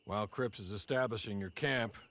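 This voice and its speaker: An actor playing a deferential, loyal manservant in a Western video game, formal and courteous in his measured speech. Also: on the low side, background noise, natural voice. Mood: courteous, dry. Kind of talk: video game cutscene acting.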